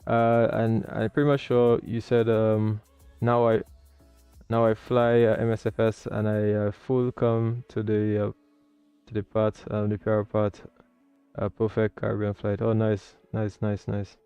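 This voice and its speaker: A man's voice: fairly low pitch, monotone, a little slow, clear, resonant tone, no room sound, lo-fi sound, faint music behind. A man hosting a livestream, talking casually as he comments and explains as a learner. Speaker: livestream host learning air traffic control.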